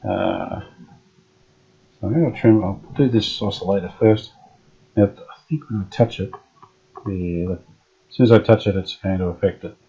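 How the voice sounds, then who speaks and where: Aussie bloke fiddling with an old radio, low voice, muffled lo-fi sound, lots of uhs. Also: male voice, moderate pace, creaky, pauses often. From Australia. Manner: relaxed, thinking aloud with frequent fillers. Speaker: radio restorer. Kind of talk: hands-on workshop narration. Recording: dull lo-fi workshop audio.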